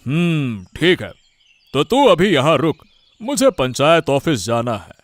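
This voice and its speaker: A man voicing a concerned village father in a cartoon story, measured and pondering. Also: on the high side, sing-song, no room sound. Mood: concerned, thoughtful. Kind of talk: Hindi cartoon story voice acting.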